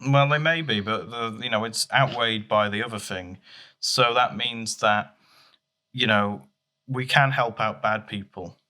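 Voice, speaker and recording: A man's voice: fairly low pitch, measured pace, sharp tone. A man hosting a comedy podcast in casual, joking banter. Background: music.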